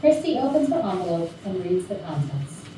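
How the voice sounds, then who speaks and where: A woman's voice: fairly low pitch, big pitch swings, fairly quick, dark tone. A woman reading stage directions as scripted narration. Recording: very echoey room, distant, faint noise.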